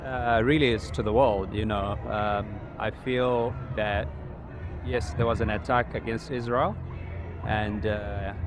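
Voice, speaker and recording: Man from Zimbabwe, lowish voice, earnest street interview, traffic noise behind. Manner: measured, earnest, frequent pauses and fillers. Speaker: rally attendee. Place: outdoor street rally.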